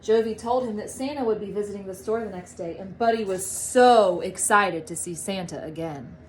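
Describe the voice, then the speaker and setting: A woman reading a story aloud to children like a teacher, with animated, drawn-out storybook phrasing. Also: medium pitch, a little hiss or hum, rough recording. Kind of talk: expressive read-aloud storytelling.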